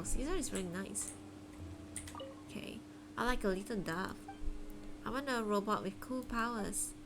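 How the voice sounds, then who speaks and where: Female game streamer, mid-pitched, casual commentary, clear background hum and noise. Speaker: game livestreamer.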